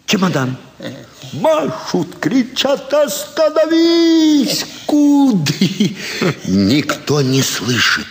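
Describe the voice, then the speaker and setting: Theatrical sly card sharper, swooping intonation. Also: male voice, on the high side, full character voice. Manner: theatrical, savouring, drawn-out storytelling with dramatic pauses. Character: sly, self-assured male card sharper relishing his own cunning. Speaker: actor in an audio play. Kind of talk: theatrical classic stage comedy dialogue, storytelling monologue. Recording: stage hall with long reverb.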